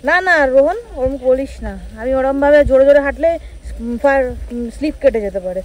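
A woman's voice: high voice, fairly quick, dull audio. Chatty woman vlogging in the rain. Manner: chatty, self-mocking, talks to camera. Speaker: travel vlogger. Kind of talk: casual travel vlog chat. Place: outdoors, rainy forest trail. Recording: outdoor phone audio with loud background noise.

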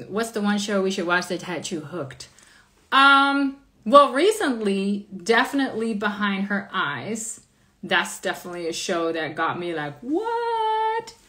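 A woman's voice: fairly low pitch, fairly bright tone. A woman in her thirties from the Netherlands with a slight American accent, hosting a casual live stream from a small room and answering viewer questions in an upbeat, chatty way, speaking into a phone held at arm's length. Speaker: live-stream interview host. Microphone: phone camera at arm's length.

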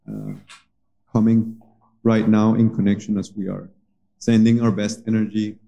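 A man in his thirties from Colombia, speaking into a stand mic in a small cafe as he introduces a livestream jam, earnest and pausing often to find his words. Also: fairly low pitch, creaky, dark tone, low-quality recording. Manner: earnest, searching for words with many pauses. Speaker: musician hosting a livestream jam. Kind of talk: casual spoken introduction to a livestream. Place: small cafe with stage, indoors. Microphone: vocal mic on a stand.

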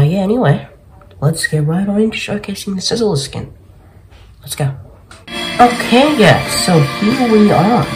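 A man's voice: a little high, low-quality recording. Young guy doing chill gameplay commentary, takes his time, some music under it. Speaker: gaming video host. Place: small bedroom, indoors.

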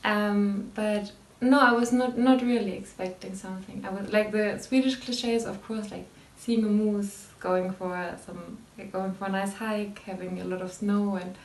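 A woman's voice: mid-range voice, steady tone, moderate pace, hardly any pauses, reverberant, poor audio. A woman in her twenties from Germany, a student answering interview questions casually and hesitantly. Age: 20s. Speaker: exchange student.

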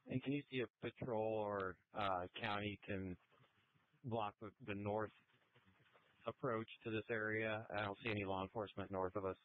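Low-voiced male officer on muffled police radio. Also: steady tone, normal speed, slight background noise. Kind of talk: unscripted radio report.

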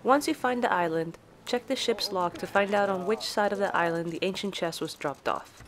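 A woman's voice: medium pitch, moderate pace, noisy background. A woman reading scripted, step-by-step tutorial narration for a video game guide.

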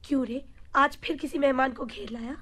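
Radio play mother: high voice, exasperated scold, long-suffering. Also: steady tone, brisk, a bit bright. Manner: nagging, complaining. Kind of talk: Hindi radio play acting.

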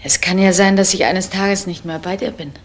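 An actress playing a protective, controlling woman in a crime radio play, speaking in a gently firm, motherly way. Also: medium pitch, steady tone, moderate pace, roomy sound, lightly acted. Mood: tender, sombre. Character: protective, controlling woman caring for troubled young man.

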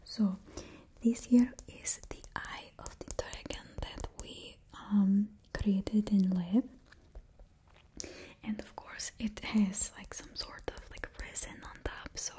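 A woman's voice: mid-range voice, fairly even intonation, takes it slowly, warm tone, close to an everyday voice. A young woman voicing a cheerfully ruthless stylist to supervillains, whispering close to the mic in a put-on Russian accent. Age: young adult. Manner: close whispering, drops little words. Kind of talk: whispered ASMR roleplay. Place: small indoor studio with backdrop. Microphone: close mic, face near camera.

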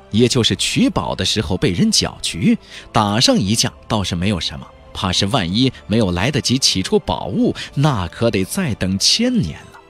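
Husky, highly animated male audiobook narrator. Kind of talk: dramatic scripted narration of a fantasy novel.

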